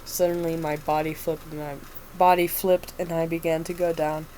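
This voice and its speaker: A woman's voice: sits low, flat delivery, moderate pace, mellow, slight background noise, lightly acted, poor audio. A woman with a stuffy nose reading the part of a weary male doctor telling his story in first person. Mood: wry.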